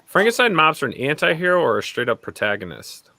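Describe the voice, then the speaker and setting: A man's voice: a little high, very animated, fast talker, gravelly, sharp tone, no room sound. A man hosting a casual live-stream interview over an online call, asking the guest questions. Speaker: show host, interviewer. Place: remote online video call.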